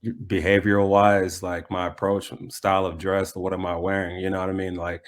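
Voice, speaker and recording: An American man talking reflectively in a conversational interview, often pausing mid-thought to restate himself. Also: deep voice, little pitch movement. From United States. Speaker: soccer coach being interviewed.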